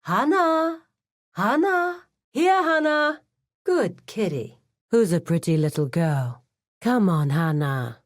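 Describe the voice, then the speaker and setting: Composed cartel administrator, expressively pitched. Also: female voice, lively intonation, no room sound, clean studio sound. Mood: coaxing. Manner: formal, measured, authoritative. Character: composed, businesslike female administrator of a crime cartel. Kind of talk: scripted video game character dialogue.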